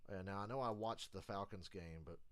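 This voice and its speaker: A man's voice: low voice, a little fast, no echo. A man streaming a live football reaction, blunt and sarcastic, saying he is starting to lose his voice. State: losing his voice. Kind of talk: casual live reaction chat.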